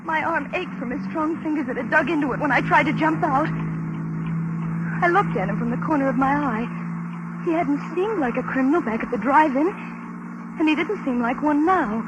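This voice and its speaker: Woman acting high-pitched, nervous radio-drama captive. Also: moderate pace, dull audio, lightly acted. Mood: haunted narrating. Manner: nervous, stammering, pleading. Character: frightened, pleading young woman held captive in a car. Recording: noisy old radio recording.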